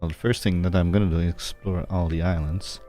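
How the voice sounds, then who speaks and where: A man's voice: deep voice, steady tone, a little fast, dark tone, faint music behind. A man giving casual gameplay commentary, thinking aloud in a loose, rambling way as he streams. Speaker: game streamer.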